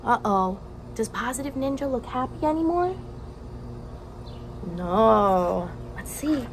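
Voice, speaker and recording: A young woman with a high, very lively voice teaches as she reads a picture book to children. She is expressive and encouraging, speaking slowly and stressing key words. There is faint outdoor noise behind her. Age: young adult. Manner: expressive, asks questions and pauses for answers; encouraging, with exaggerated gasps and emphasis. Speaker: teacher reading aloud to children. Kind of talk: animated children's picture book read-aloud. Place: outdoors on a house porch.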